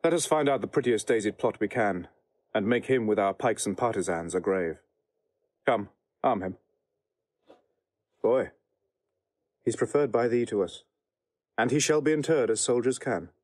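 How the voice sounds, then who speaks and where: A man acts a noble Roman general in classical audio drama. His voice is lowish and rough-edged, measured and authoritative, with a fatherly kindness. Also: a little mellow, lightly acted. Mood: fatherly, solemn. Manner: measured, authoritative verse delivery.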